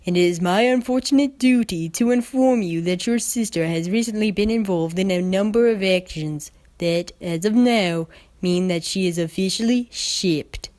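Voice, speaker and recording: A woman voicing a serious, officious stallion agent who speaks in a formal, measured, bureaucratic way. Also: mid-range voice, darkish tone, natural voice. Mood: grave.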